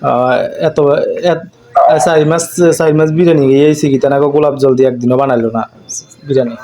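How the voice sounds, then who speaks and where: A man's voice: mid-range voice, fairly even intonation, fast, mellow, highs cut off. A man on a phone video call, giving short, grumbling replies in casual chat.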